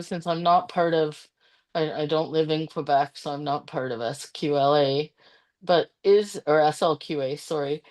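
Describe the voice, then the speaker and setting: A woman's voice: fairly low pitch, a little mellow. A woman from Canada asking a question from the audience at a conference, hesitant and correcting herself as she goes. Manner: hesitant, self-correcting, with restarts.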